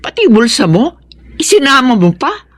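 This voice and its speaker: An actress playing a frank, caring friend in a Filipino radio drama, chiding expressively. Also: female voice, sits high, sing-song, moderate pace. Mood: concerned scolding.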